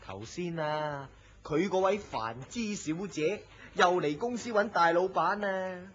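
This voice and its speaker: An actor playing a nosy, superstitious office worker in a Hong Kong Cantonese radio drama, gossipy and full of exclamations. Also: male voice, high voice, animated, a little slow, fairly bright tone. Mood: gossipy, worried. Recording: muffled lo-fi audio with faint noise.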